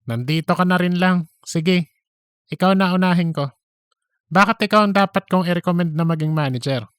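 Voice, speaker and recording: A man's voice: high-pitched, normal speed, fairly bright tone, clean tone, no room sound, clean studio sound. A man playing a puzzled, skeptical male office interviewer in a comedic audiobook, questioning and a little exasperated. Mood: considering.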